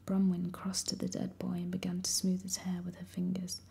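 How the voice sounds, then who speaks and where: A woman reading a first-person story aloud in a steady, hushed whisper. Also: fairly low pitch, normal speed, creaky. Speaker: audiobook reader.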